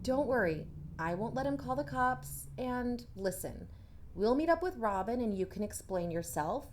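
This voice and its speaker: A woman's voice: on the high side, expressive, moderate pace, natural voice. Firm, exasperated actress as a young woman, noisy recording. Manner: direct, insistent. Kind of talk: naturalistic fiction podcast acting.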